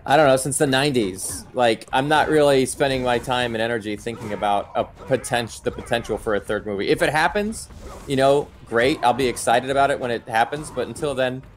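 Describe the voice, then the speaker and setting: A man's voice: mid-range voice, a little fast. A middle-aged man hosting a live stream, chatting casually and giving his opinions as he answers viewer comments. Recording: music playing behind the voice. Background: background music.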